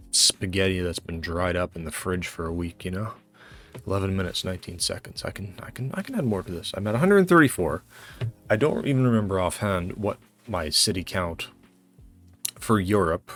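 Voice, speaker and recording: A man casually thinking aloud as he hosts a guessing game video, halting and muttering to himself. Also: deep voice, a bit bright. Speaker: host of a geography guessing challenge video.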